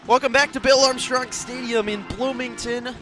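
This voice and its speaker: A man doing live radio play-by-play, moving between measured setup and urgent calls. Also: very high voice, fairly even intonation, pushed and bright, faint music behind. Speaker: play-by-play sports announcer. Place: outdoor stadium broadcast position. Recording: background noise of an outdoor stadium.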